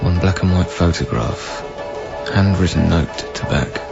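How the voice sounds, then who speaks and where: An actor playing a sombre official who reads out an inventory in a radio drama, formal, deliberate and matter-of-fact. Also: male voice, low-pitched, vocal fry, muffled recording, loud music behind the voice. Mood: detached, solemn. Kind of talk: scripted read-out in a radio drama.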